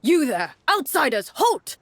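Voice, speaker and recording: Burly male guard captain, voiced by a woman, fantasy game. Gruff, barking, distrustful of outsiders, bossy. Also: sits high, sing-song, unhurried, noisy background, voice pushed up, full character voice. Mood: commanding. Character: tall, muscular, suspicious male captain of the guard.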